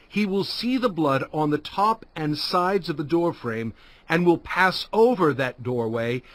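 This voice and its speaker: An actor playing an earnest, forceful male prophet and leader in a dramatized audio Bible, declaiming emphatically. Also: a little high, dry recording. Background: music.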